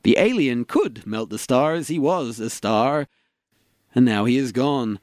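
A man's voice: mid-range voice, expressive, unhurried, a little mellow, no room sound, clean studio sound, close to an everyday voice. Solemn, awkward eulogist man acting. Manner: solemn, hesitant. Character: earnest, awkward man giving a funeral eulogy. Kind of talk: comedy radio drama.

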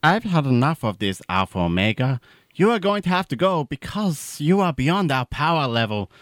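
A man's voice: a little high, big pitch swings, moderate pace, no echo, studio quality. A man voicing a stern, exasperated pet superhero, declaiming emphatically in a comedic audio drama.

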